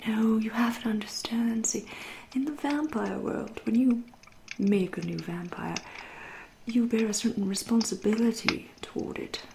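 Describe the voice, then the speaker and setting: A woman playing a centuries-old vampire in an ASMR roleplay, with an English accent, a soft breathy half-whisper and a genteel, teasing, politely menacing manner. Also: fairly high pitch, background noise. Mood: patronising, musing. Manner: slow, deliberate, politely menacing.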